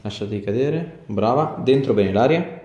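A man's voice: medium pitch, lively intonation, unhurried, dark tone, dull audio. A male chiropractor chatting casually with a patient and giving reassuring, coaxing instructions during treatment. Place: small indoor clinic treatment room. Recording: echoey small treatment room.

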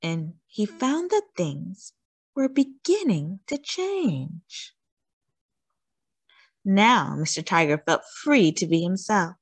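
A woman's voice: lowish voice, big pitch swings, slow, breathy edge, no room sound. A woman reading a children's story aloud expressively, stretching words out for theatrical emphasis.

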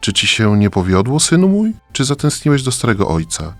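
A man's voice: mid-range voice, big pitch swings, a little fast, no room sound, soft background music. A man playing a sad old king, a tender father who speaks in a solemn, pleading way in a children's radio play. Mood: wistful.

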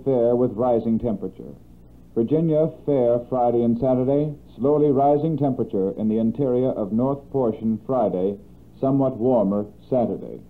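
1930s American radio announcer, narrow-band sound. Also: male voice, mid-range voice. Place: radio studio.

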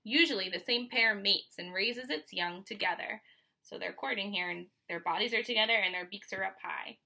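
A woman in her twenties with an American accent reads a children's picture book aloud like a teacher, pausing to explain and ask questions. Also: mid-range voice, vocal fry, sharp tone, rough recording. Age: 20s. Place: small furnished room at home, indoors.

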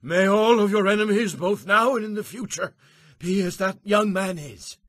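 A man's voice: high voice, normal speed, a bit bright, no echo, clean studio sound, pitched above the performer's own voice. A man voicing a respectful, formal male messenger declaiming victory news in a dramatized scripture audiobook. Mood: proud, formal.

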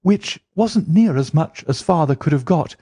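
Man doing a flustered young woman, high, breathless, rushing, earnest, dry close sound. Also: expressive, dark tone, slightly rough, no echo. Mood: indignant.

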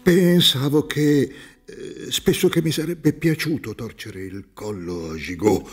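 An actor playing a naive, excitable businessman on trial in a radio drama, exclaiming and repeating himself. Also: medium pitch, slow pace, breathy edge, soft background music. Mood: defensive. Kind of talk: theatrical radio drama acting.

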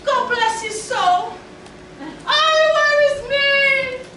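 A woman's voice: extremely high pitch, extremely slow, background noise, full character voice. A woman playing a melodramatic, doting pregnant mother in a broad, gushing pantomime style. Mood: mock woeful. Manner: theatrical, gushing, exaggerated. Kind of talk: broad pantomime stage acting. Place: on stage in a small theatre. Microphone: far camera from the audience. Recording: distant, echoey theatre hall with music.

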